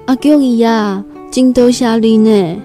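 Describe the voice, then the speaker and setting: Radio drama role: humble young rural girl, Taiwanese accent, plain-spoken and earnest, warm relaxed timbre. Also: fairly high pitch, measured pace, faint music behind. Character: timid nineteen-year-old country girl new in Taipei. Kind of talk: Taiwanese radio drama, first-person storytelling and dialogue.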